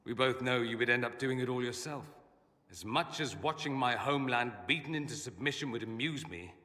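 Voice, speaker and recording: A man's voice: mid-range voice, animated, fairly quick, sharp tone. An actor playing a witty, theatrical mage nobleman in a video game, with an arch, refined, droll manner. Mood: tender, resolute. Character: witty, urbane, theatrical mage nobleman, wistful about homeland. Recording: long reverberant hall echo.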